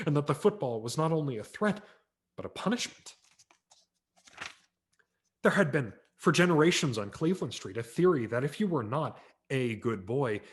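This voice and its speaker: A man narrating a humorous story aloud in a wry, expressive reading style for a virtual event. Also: mid-range voice. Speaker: audiobook narrator. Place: home setup for a virtual event.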